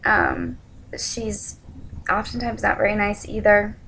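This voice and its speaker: A young adult woman giving an informal spoken presentation in a somewhat hesitant, halting way. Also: medium pitch, lively intonation, creaky, fairly bright tone, a little hiss or hum, rough recording.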